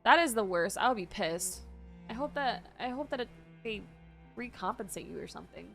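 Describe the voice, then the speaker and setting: A woman's voice: mid-range voice, lively intonation, fairly quick, gravelly, a bit bright, soft background music. A woman doing casual gaming commentary, slangy and chatty, talking back to the characters. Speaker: streamer reacting to a game.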